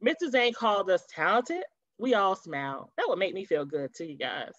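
Animated woman reading a children's storybook. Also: medium pitch, moderate pace, no room sound. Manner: animated, chatty asides to young listeners. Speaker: teacher reading aloud to children. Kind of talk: expressive children's storybook read-aloud. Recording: dull, lo-fi webcam audio.

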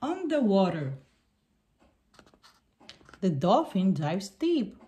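A woman reading a picture book aloud for young children in deliberate, simple sentences. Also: medium pitch, big pitch swings, dark tone.

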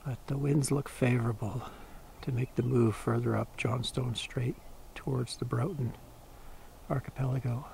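A man casually narrating a boating vlog outdoors on his boat, relaxed and chatty, sometimes dropping to a soft voice. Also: medium pitch, normal speed, vocal fry, a little hiss or hum. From Canada. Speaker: boating vlogger.

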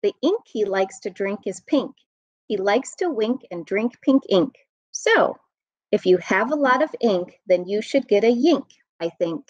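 An American woman, around her thirties or forties, reading a rhyming children's book aloud in an expressive, sing-song way. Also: mid-range voice, unhurried, dry recording. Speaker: children's storytime reader. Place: room at home. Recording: dull, muffled webcam audio.

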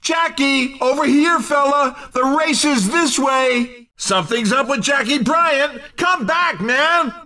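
High-pitched, excitable race commentator. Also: male voice, takes it slowly, distant mic, full character voice. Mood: excited, teasing. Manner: exclamatory, teasing, theatrical. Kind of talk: excited sports commentary for a racing video game.

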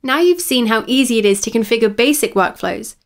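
A woman with a slightly high voice narrates a scripted software lesson. She explains each step clearly, as a tutorial presenter would. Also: lively intonation, brisk.

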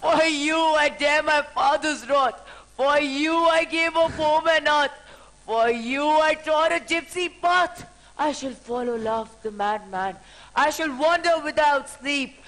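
A woman's voice: high voice, a bit bright, poor audio. A woman playing Beatrice, a jilted melodramatic maiden. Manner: declaiming in rhymed verse. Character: jilted young woman Beatrice, wildly theatrical. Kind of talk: musical theatre acting.

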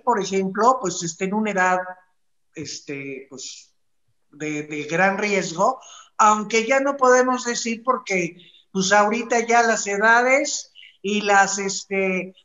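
Mexican lady co-host doing showbiz news, talks real slow, echoey room, kinda muffled call audio. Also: medium pitch, fairly bright tone. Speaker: show co-host. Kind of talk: casual entertainment news commentary. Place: indoor room. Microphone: video call. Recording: echoey room, dull video-call sound.

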